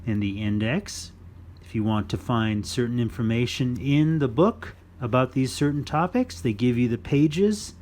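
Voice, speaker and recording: A man's voice: medium pitch, unhurried, a little mellow, a little hiss or hum. A man hosting a children's story time, reading a non-fiction book aloud in an instructive, playful way for young listeners.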